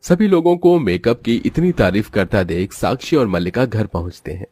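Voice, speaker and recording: A man's voice: lowish voice, big pitch swings, moderate pace, a little mellow, slightly rough, no room sound. A man narrating a scripted moral story as its storyteller.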